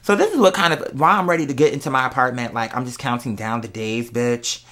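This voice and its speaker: Casting: young adult American vlogger, bright forceful voice in a middle register, slangy confessional chat, candid and emphatic. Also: medium pitch, quick pace. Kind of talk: casual confessional vlog chat to followers.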